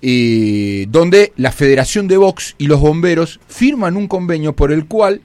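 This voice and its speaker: Lively Argentine male radio host. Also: on the high side, measured pace, no room sound. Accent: Argentine Spanish. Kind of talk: radio interview.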